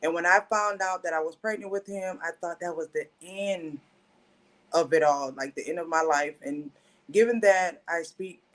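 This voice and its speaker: Thirties woman, Haitian Creole native, guest telling her life story with earnest pauses. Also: lowish voice. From Haitian family, raised in Florida. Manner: earnest, pausing, self-correcting. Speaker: podcast guest. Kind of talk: personal storytelling.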